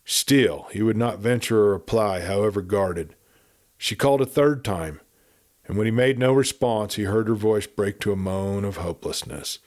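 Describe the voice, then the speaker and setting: A man reading a western novel aloud in a steady storytelling manner. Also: lowish voice, moderate pace. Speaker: audiobook reader.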